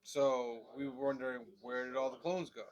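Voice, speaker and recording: A man co-hosting a casual review chat, with a stuffy, nasal voice from allergies and a relaxed, chatty manner full of "um"s. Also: medium pitch, moderate pace, lo-fi sound. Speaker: podcast co-host.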